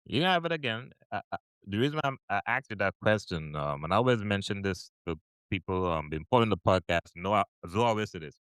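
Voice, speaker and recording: A man's voice: on the low side, animated, fast, a bit bright, clear, resonant tone, dry recording, poor audio. A man hosting a podcast, asking casual, rambling interview questions. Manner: rambling, many fillers and restarts. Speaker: podcast host.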